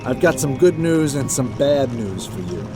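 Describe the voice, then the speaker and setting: A man's voice: mid-range voice, animated, moderate pace, lightly acted. Man playing blunt, exasperated boat captain over music. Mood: cautious, explaining. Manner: blunt, argumentative, emphatic. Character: gruff, skeptical male salvage boat captain, blunt and exasperated. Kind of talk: audio drama acting. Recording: dramatic music behind the voice.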